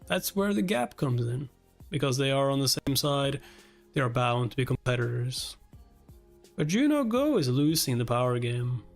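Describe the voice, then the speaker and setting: A man's voice: mid-range voice, very animated, normal speed, gravelly. A man livestreaming a read-along, reacting casually, chatting with viewers and swearing offhandedly. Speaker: livestreamer reading a webtoon aloud and reacting. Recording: music playing behind the voice. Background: background music.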